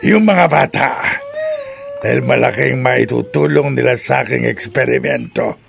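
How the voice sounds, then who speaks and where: A man playing a gleeful, evil mad doctor in a horror radio drama, with a gravelly voice and slow, menacing, cackling delivery. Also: medium pitch. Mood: gloating, maniacal.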